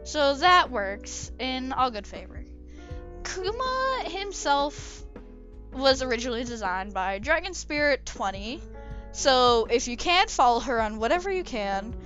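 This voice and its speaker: A woman's voice: high voice, sing-song, unhurried, fairly bright tone, dull audio, soft background music. A woman chatting casually about her own drawing over a speedpaint video, rambling and often correcting herself. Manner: rambling, hesitant, self-correcting. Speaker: artist commenting on own drawing. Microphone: desk microphone.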